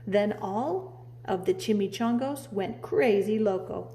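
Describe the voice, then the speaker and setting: A woman's voice: mid-range voice, slow, warm tone, slight background noise. A woman reading a children's storybook aloud like a preschool teacher, dramatically drawing out key words. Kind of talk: expressive children's storybook narration.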